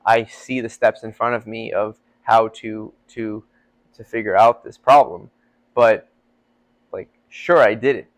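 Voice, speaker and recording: A man's voice: lowish voice, steady tone, measured pace. A young man co-hosting a faith podcast, talking casually and rambling with lots of fillers. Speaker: podcast co-host. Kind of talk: casual chat.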